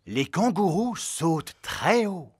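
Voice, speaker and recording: Lively children's cartoon narrator. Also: male voice, high voice, slow pace, heavily acted. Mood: informative.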